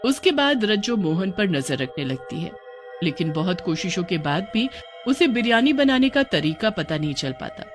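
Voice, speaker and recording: Animated woman cartoon storyteller over music. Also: mid-range voice, moderate pace. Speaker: narrator. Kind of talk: scripted cartoon story narration.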